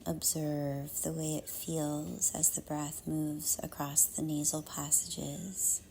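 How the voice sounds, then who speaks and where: A woman leading a guided meditation in a soft, half-whispered, soothing voice, with measured phrases and long pauses. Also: sits low, little pitch movement, creaky, slight background noise, poor audio.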